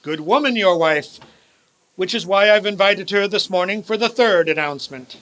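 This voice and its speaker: Man playing a high, bright-voiced old reformed miser. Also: moderate pace. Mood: generous, brisk. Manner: theatrical, formal, emphatic. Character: elderly reformed miser uncle and boss, grandly merry. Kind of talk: radio play acting.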